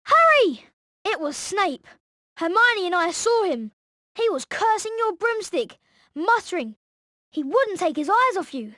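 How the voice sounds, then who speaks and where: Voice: extremely high pitch, big pitch swings, a little slow, pushed and bright, dry recording, studio quality, exaggerated voice. A performer playing an eager, plain-spoken young schoolboy wizard in a video game, blunt and chummy. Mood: alarmed. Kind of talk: video game cutscene acting.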